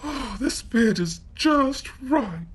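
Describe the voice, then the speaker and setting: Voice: high voice, lively intonation, takes it slowly, breathy edge, pitched above the performer's own voice, full character voice. A storyteller voicing a fussy little girl in a storybook, who grumbles and complains. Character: golden-haired young girl, cross and fussy.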